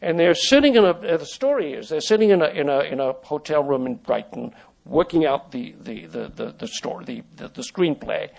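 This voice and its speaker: An older man, a native French speaker, recalls his past in a meandering manner, stopping and restarting often. His voice sits a little high for a man. He speaks as a guest in a relaxed conversation. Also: gravelly, rough recording. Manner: rambling, halting, with many restarts and fillers. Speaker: interview guest, former child actor. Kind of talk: conversational storytelling.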